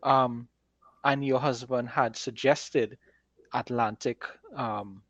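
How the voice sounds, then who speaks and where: A man's voice: medium pitch, fairly even intonation, slow, fairly bright tone, no echo. Man in a dry, slightly dull recording. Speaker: fantasy author and podcast co-host. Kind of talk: conversational interview discussion.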